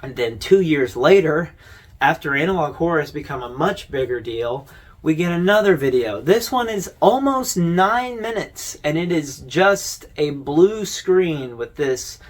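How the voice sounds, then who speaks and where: A man's voice: on the high side, animated, unhurried. A man hosting a video essay, giving informal, conversational commentary as he explains things.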